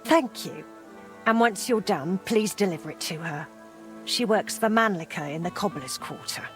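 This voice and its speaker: A woman playing a brisk, plain-spoken medieval shop helper in a video game, with a breezy, matter-of-fact manner. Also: on the low side, expressive, faint music behind, close to an everyday voice. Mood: brisk, proud. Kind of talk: naturalistic game dialogue.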